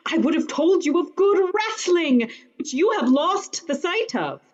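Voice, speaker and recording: A woman's voice: very high voice, big pitch swings, measured pace, highs cut off, full character voice. A woman playing an affected courtier bearing news in a Shakespearean radio play. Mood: affected, courtly.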